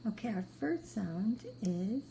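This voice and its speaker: A woman with a very mellow, dark tone leads a beginner phonics lesson at a slow pace. She gives short, patient instructions and repeats her praise often. There is some faint noise in the recording. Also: mid-range voice, expressive, creaky, low-quality recording. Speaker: reading teacher.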